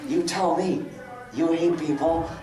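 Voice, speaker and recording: A man's voice: fairly high pitch, fairly even intonation, a little slow, noisy background, lightly acted. A man reading the part of a dutiful, defensive older-brother pony guard in a comedic live dramatic reading. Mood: casual, teasing. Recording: echoey room, distant mic.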